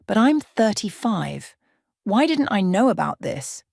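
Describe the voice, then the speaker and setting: A woman's voice: medium pitch, very animated, unhurried, gravelly, a little mellow, no room sound, pitched above the performer's own voice, heavily acted. Shaken thirties divorcée voiced by a woman narrator, emotional and questioning, dry audio-story sound. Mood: bewildered.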